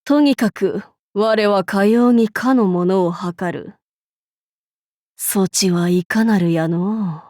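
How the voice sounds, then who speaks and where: 女性が演じる尊大な魔王の女武将、ゆったりした語り. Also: female voice, mid-range voice, takes it slowly, mellow, dry recording, clean studio sound, lightly acted. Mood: grand, imperious. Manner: pompous, archaic lordly speech. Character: imperious demon-king female warlord, archaic, haughty, amused by herself. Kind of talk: Japanese mobile game character voice lines.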